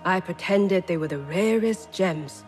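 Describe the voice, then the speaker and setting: An actor playing a proud, refined, ruthless self-made treasurer, speaking in a poised and deliberate way. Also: medium pitch, soft background music, close to an everyday voice. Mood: wistful, poised. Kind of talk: dramatic game cutscene dialogue. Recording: loud score behind.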